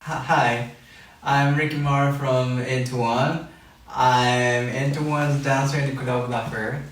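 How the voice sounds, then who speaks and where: A man's voice: mid-range voice, steady tone, slow pace. A young man from Japan, a dancer and choreographer, introducing himself hesitantly with many fillers. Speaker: boy band dancer and choreographer. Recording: echoey room, mic at a distance.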